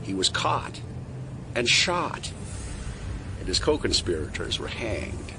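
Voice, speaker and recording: A man playing a calm, persuasive conspiracy insider in an audio drama, speaking in a measured, knowing storyteller's way. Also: on the low side, full character voice. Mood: measured storytelling. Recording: noisy street ambience behind voice.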